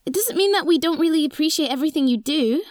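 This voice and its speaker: A woman's voice: high voice, animated, fast talker. A woman playing a kind, eager schoolgirl in a children's audio drama, speaking politely. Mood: apologetic, caring.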